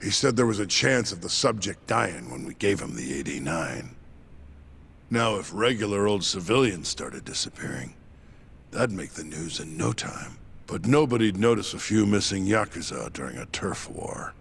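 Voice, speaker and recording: An actor playing a cynical, tough underworld henchman in a video game, nonchalant and gravelly. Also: sits low, sing-song, moderate pace, fairly bright tone, a little hiss or hum, exaggerated voice. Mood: cynical, unbothered. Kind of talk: English video game cutscene acting.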